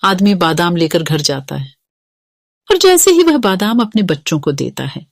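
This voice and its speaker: A woman's voice: fairly low pitch, very animated, moderate pace, creaky, no room sound, clean studio sound. A woman narrating a scripted cartoon story as the storyteller.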